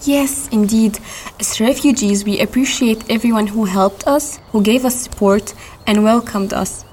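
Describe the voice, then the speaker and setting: A woman's voice: on the high side, measured pace, a little mellow, slight background noise. An eighteen-year-old woman leading a conversation, speaking in a friendly, careful way. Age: 10s. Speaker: interviewer.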